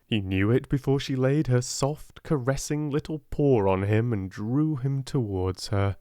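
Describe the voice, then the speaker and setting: A soft-spoken man with a British accent narrating a storybook in a gentle, expressive way. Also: medium pitch, unhurried, dry recording, studio quality. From Britain. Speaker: audiobook narrator.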